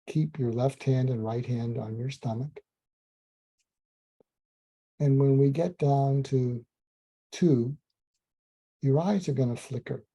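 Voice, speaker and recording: Gravelly, dark-voiced man telling a story. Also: medium pitch. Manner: slow, soothing, hypnotic delivery with many ums. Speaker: psychiatrist telling a clinical story. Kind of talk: calm storytelling monologue. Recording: dull lo-fi sound.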